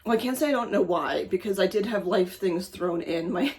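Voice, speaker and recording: A woman's voice: on the low side, fairly quick, a little mellow. A middle-aged woman raised speaking German, talking in a rambling, chatty way for her personal vlog, with many fillers. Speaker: vlogger.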